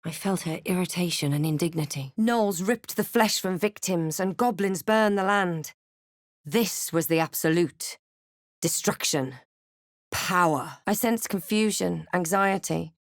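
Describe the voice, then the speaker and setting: A woman playing a thoughtful, observant female adventurer in a fantasy game, with a measured, dry delivery that turns hushed at times. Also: on the low side, dry recording. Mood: hushed, solemn narration. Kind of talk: scripted game character lines and narration.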